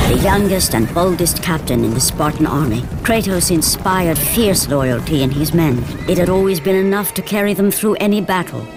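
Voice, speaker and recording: Over dramatic music, a grave storyteller with a low female voice. She narrates Greek myth solemnly and deliberately, in the epic, scripted style of a video game. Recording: orchestral game music behind voice.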